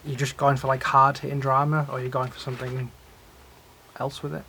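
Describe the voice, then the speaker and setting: A man's voice: mid-range voice, little pitch movement, a little fast, pushed and bright. A man talking as a teacher giving informal feedback, with rambling, tentative phrasing. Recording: noisy room recording.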